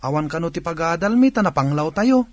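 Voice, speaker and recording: A man's voice: on the high side, expressive, a little fast, dry recording, lightly acted. A man playing an eager, cheeky younger brother, a working student, in a radio drama with a Filipino Ilocano accent. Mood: complaining. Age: young adult.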